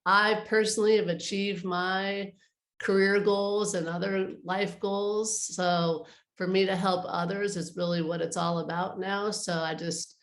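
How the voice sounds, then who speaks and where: A woman's voice: mid-range voice, level tone, measured pace, reverberant. A woman speaking conversationally as an advisor being interviewed, explaining her work and giving practical advice. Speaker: interview guest, art advisor.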